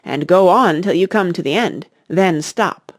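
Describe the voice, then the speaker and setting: A woman's voice: on the low side, expressive, measured pace, no room sound, studio quality. A woman voicing a pompous, muddled, blustering king in a storybook, speaking with self-important emphasis. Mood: grave.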